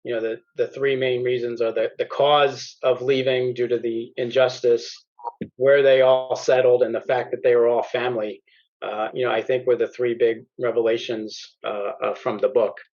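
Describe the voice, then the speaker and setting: A man's voice: medium pitch, normal speed. A man explaining genealogy research at an easy pace, stopping often to restart his sentences. His voice sits in a middle register. The audio is a little dull and lo-fi. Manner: relaxed, many fillers and restarts. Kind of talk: explaining research over slides in a podcast chat.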